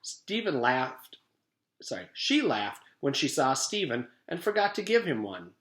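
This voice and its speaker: Animated man reading a storybook, echoey room. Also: medium pitch, a little slow. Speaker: teacher reading aloud. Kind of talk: children's storybook narration.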